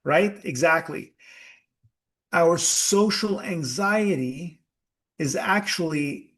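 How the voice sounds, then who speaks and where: Slow, emphatic man leading a workshop. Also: on the high side, fairly bright tone. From United States. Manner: slow, emphatic, asks the audience questions. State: sweating. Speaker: workshop leader. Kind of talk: live group workshop talk.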